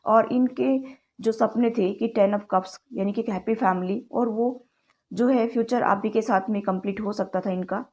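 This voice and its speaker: Woman, tarot reader, chatty direct-to-camera style, warm tone, sore throat. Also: mid-range voice, steady tone, normal speed. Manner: chatty, keeps addressing viewers as "guys"; mixes English words into Hindi.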